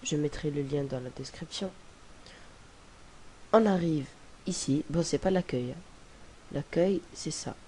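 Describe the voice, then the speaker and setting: A young man with a cold, talking through a casual screen-recorded software tutorial in a rambling, hesitant way. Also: a little high, unhurried, long pauses, poor audio. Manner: rambling, hesitant, many fillers and restarts. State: has a cold. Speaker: tutorial presenter. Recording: faint hiss on home recording.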